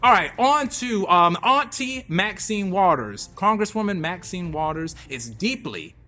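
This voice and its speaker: A man's voice: high voice, expressive, measured pace, bright, forceful tone. A man hosting a radio show, giving casual commentary on hot news topics in a theatrical, emphatic way and repeating phrases for effect. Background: music under the talk.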